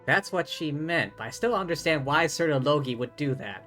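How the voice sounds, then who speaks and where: A streamer reacts to a game's story in a casual, musing way. The voice is mid-pitched and informal. Faint music runs behind it. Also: expressive, moderate pace, a bit bright.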